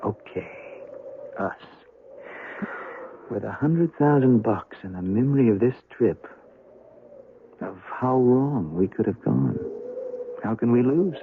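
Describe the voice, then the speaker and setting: A man's voice: mid-range voice, lively intonation, takes it slowly, long pauses. An actor playing an earnest, persuasive young man in a radio drama, with a sincere, slightly hesitant delivery. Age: young adult. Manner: hesitant repetitions, urgent sincerity. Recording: muffled, noisy old radio recording.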